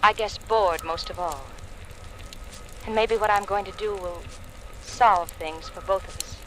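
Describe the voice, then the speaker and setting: An actress playing a weary, despondent wife, halting and resigned, heard on a home record in a 1940s radio drama. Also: female voice, medium pitch, a bit bright. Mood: resigned, bleak. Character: despondent, weary wife leaving a farewell message. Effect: played back from a home record. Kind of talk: 1940s radio suspense acting. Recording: noisy, distant old record playback.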